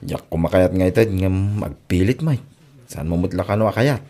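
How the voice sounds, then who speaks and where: A man's voice: sits low, moderate pace, warm tone, lightly acted. A man with an Ilocano Filipino accent playing a patient young suitor in a comedy drama. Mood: patient, courting. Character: patient young male suitor bringing treats.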